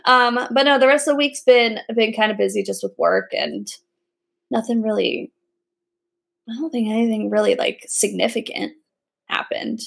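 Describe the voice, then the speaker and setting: A woman's voice: a little high, expressive, moderate pace, vocal fry, fairly bright tone. A young woman co-hosting a casual podcast, chatting, laughing often and using many fillers. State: pregnant. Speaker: podcast co-host. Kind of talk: casual chat.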